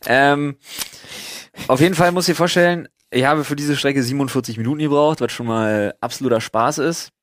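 A man's voice: mid-range voice, fairly quick, slight background noise. A man chatting casually as a podcast host, telling stories in a slangy, sweary, mock-exasperated way. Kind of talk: casual chat, storytelling.

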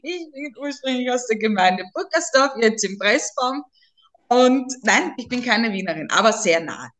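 A woman's voice: a little high, expressive, moderate pace, fairly bright tone. A talkative woman with a slightly Viennese eastern Austrian accent, chatting casually as a podcast co-host. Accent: eastern Austrian German, slightly Viennese. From Lower Austria, near Vienna.

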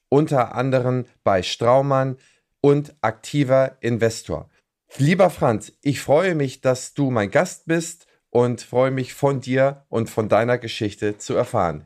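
Measured male podcast host. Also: mid-range voice, a little mellow. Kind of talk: scripted intro, then casual interview questions.

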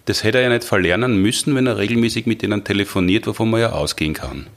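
A man's voice: fairly low pitch, fast talker. A man hosting a podcast, asking long, curious questions. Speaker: podcast host. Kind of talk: conversational interview.

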